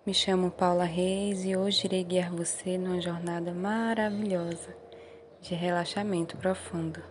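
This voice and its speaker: A Brazilian woman gently guiding a meditation in a soothing, unhurried way, with short encouraging phrases. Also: on the low side, little pitch movement, noisy background. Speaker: meditation guide. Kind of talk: guided meditation.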